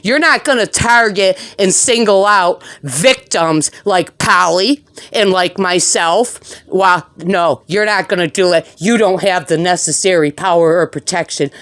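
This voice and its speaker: A woman with a slightly low voice leaves an angry voicemail, recorded close and dry. She speaks as an aggrieved tenant, combative and emphatic, and does not hold back on swearing. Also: measured pace, a bit bright, no echo. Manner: combative, emphatic, indignant, profane. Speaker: tenant leaving voicemail complaints.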